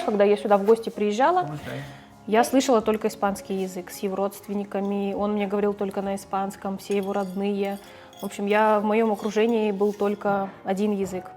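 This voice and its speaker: A woman's voice: mid-range voice, a little mellow. A middle-aged woman from a Russian-speaking background, a mother and family vlogger, talking casually to the camera in a chatty, conversational way and sometimes correcting herself mid-sentence.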